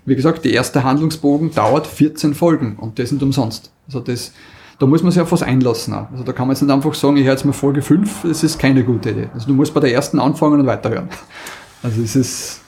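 A man's voice: mid-range voice, fast talker, mellow, slight background noise. A man with an Austrian accent chatting casually as a podcast co-host, often reformulating as he goes.

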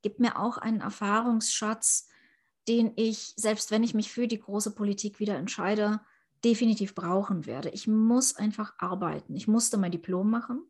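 Gravelly, husky-voiced woman. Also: mid-range voice, steady tone, normal speed, a little mellow. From Ukraine. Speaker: interviewee, politician. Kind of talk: interview answers.

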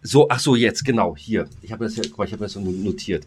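A man's voice: on the low side, fast talker, a little hiss or hum. A man co-hosting a casual podcast, joking in slangy speech, rambling and often interrupting himself. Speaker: podcast co-host.

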